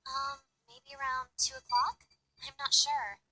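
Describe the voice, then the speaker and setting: A woman's voice: sits high, flat delivery, measured pace, pushed and bright, soft background music. A woman voicing a friendly, easygoing young shop girl in a visual novel, speaking conversationally with some hesitation. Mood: casual, thoughtful.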